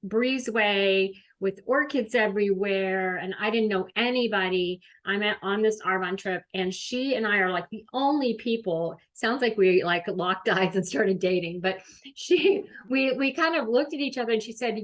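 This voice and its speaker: A woman's voice: medium pitch, moderate pace. A woman hosting, giving a chatty, rambling introduction of a guest. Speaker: host. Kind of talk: casual introduction.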